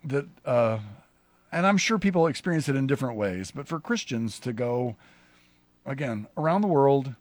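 A pastor hosting a casual conversation, a man who talks in halting, rambling sentences with many restarts. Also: mid-range voice, lively intonation, dry recording. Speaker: pastor and show host.

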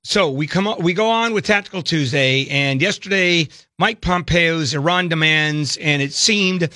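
A man's voice: on the high side, normal speed, pushed and bright, no room sound, studio quality. A man hosting a talk radio show, chatty and jokey, with frequent fillers.

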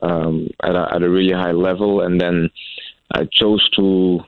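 A young man from Belgium answers questions in a very deep voice, searching for words and restarting his sentences often. The sound is thin and phone-like, with no room around it. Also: level tone, no echo. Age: young adult. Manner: halting, many fillers and restarts. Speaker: college tennis player. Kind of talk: interview answers.

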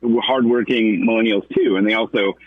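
Man, middle-aged, radio caller, low pitch, dull lo-fi line, rambling with fillers. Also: fairly even intonation, normal speed, dry recording. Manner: rambling, lots of fillers and restarts. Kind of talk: call-in commentary.

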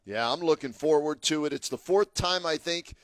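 A man's voice: a little high, moderate pace, no room sound. A man co-hosting a sports talk radio show, talking casually and getting emphatic as he argues a point. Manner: chatty, emphatic, gets fired up arguing.

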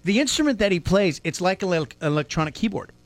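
A podcast host talks in a casual, animated way, laughing between phrases. The pitch swings widely from line to line. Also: fast. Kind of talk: casual chat.

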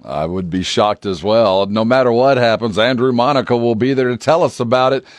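A man's voice: medium pitch, a little fast, no room sound. A man hosting a sports talk radio show, talking to a guest and to listeners.

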